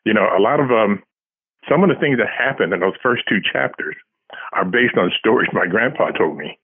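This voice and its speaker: A man's voice: lowish voice, expressive, brisk, breathy edge. A man answering as an interviewed author, telling casual anecdotes with dry, self-deprecating humour. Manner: self-deprecating, dryly humorous. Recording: tinny phone-like line.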